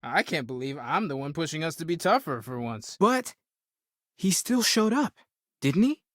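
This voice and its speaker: An earnest, higher-voiced scholar-explorer. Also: male voice, very animated, normal speed, a bit bright, no echo, clean studio sound. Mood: exasperated, insistent. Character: earnest young male theological scholar turned space explorer, curious and idealistic. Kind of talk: video game character dialogue.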